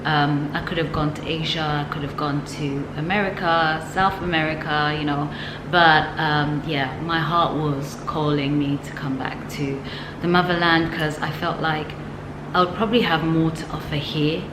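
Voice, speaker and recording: A woman's voice: sits low, fairly even intonation, moderate pace. A woman talking casually to camera in a vlog, reflective and chatty, with light laughs and frequent fillers. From from the West, now living in Ghana. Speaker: vlogger. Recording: echoey room with noticeable background noise.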